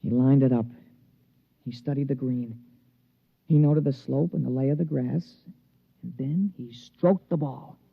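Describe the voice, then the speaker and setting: An actor playing an excitable, loyal young American golf caddy who tells the story in a folksy, colloquial 1950s radio drama style. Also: male voice, medium pitch, unhurried, gravelly, long pauses, breathy edge, no echo. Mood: suspenseful. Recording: muffled old radio recording, music underneath.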